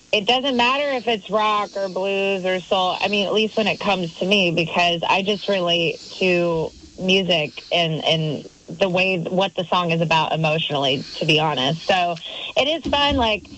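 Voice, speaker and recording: A woman country singer with a big voice, chatting as a guest in a radio interview, bubbly and quick to laugh. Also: fairly low pitch, a bit bright, lo-fi sound, a little hiss or hum.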